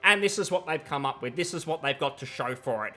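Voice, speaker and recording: A man's voice: a little high, lively intonation, fast, sharp tone, soft background music. A man in his thirties giving critical, sarcastic reaction commentary on a game, talking into a headset at home. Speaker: gaming commentator. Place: home room.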